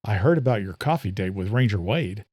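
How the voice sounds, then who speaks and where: A man's voice: lowish voice, expressive, brisk, darkish tone, breathy edge, no echo, clean studio sound, pitched below the performer's own voice. A man voicing a fatherly veteran policeman, hearty, proud and firm, in an audio thriller. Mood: amused.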